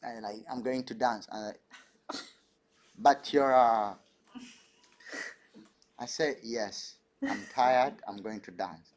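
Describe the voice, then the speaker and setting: A man's voice: mid-range voice, slow pace, pauses often. A man from Uganda, a dance artist, telling stories animatedly on a panel and laughing often. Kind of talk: panel discussion.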